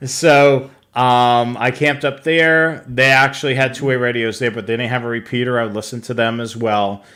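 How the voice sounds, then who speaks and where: An American man co-hosting a live hobby show, chatting casually with halting, enthusiastic delivery. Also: mid-range voice, fairly even intonation, a bit bright. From New England, United States.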